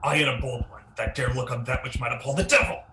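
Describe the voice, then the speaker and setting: A man's voice: medium pitch, steady tone, fast talker, lightly acted. A young man playing a haunted, guilt-stricken king in a Shakespearean radio play, delivering verse dramatically. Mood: terrified, defiant. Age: young adult. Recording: roomy, with faint noise.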